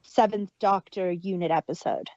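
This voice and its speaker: A woman's voice: on the low side, moderate pace, mellow, dry recording, muffled recording. A woman co-hosting a fan podcast review, chatting casually and sharing details. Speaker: podcast co-host.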